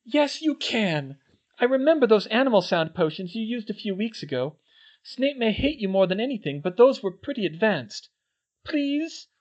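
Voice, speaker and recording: A man voicing an earnest, anxious teenage schoolgirl witch in a high, pleading voice. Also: big pitch swings, moderate pace. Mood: frightened, pleading. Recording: dull lo-fi recording.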